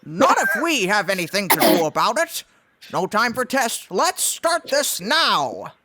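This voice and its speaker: A woman voicing an excitable, testy male cartoon duck hero in a live comic dub. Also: medium pitch, unhurried, no echo, heavily acted. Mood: determined.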